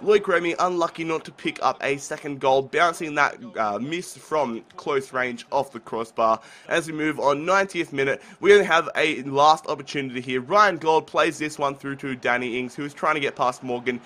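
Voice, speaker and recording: A young man commentating his football video game play while sick with the flu, talking casually and getting excited at chances. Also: a little high, moderate pace, hardly any pauses. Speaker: gaming commentator.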